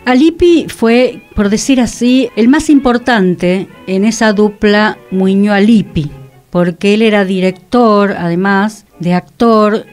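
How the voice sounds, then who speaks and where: A woman's voice: medium pitch, slow, darkish tone, soft background music. A woman with an Argentine accent presenting on radio, explaining theatre history informally through anecdotes.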